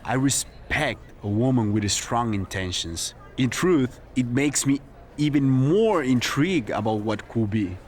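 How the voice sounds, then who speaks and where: A man voicing a confident, smooth-talking wrestler making an advance in a video game cutscene. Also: medium pitch, lively intonation, unhurried, natural voice. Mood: suave, intrigued.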